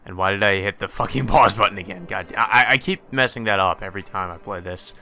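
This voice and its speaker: Bright-voiced man doing casual gameplay commentary. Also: lowish voice, fast, creaky. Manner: casual, heavily profane. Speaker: let's play streamer. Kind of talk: gameplay commentary and visual novel read-aloud.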